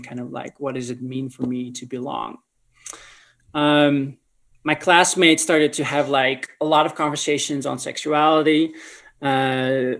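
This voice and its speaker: A man from the Netherlands, telling a personal story as an interview guest in a halting, think-aloud way. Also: mid-range voice. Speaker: podcast guest, ministry leader.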